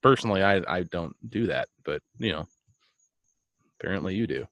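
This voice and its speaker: A man with a fairly low voice rambles through relaxed, joking banter with a co-host, full of "like" and "um". The sound is dry and close, with no room around it. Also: a little fast, no echo. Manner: rambling, joking, lots of fillers. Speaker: podcast co-host. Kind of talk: casual chat.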